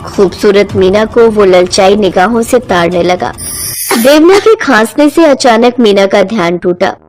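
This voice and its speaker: A woman narrating a cartoon story in a steady storytelling manner. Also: mid-range voice, unhurried. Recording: music underneath.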